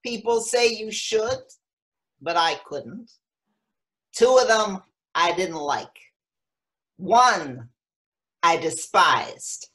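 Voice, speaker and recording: A woman acting a frank, wistful elderly mother of seven in a play reading, speaking with halting, matter-of-fact candour. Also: on the low side, bright, forceful tone, full character voice.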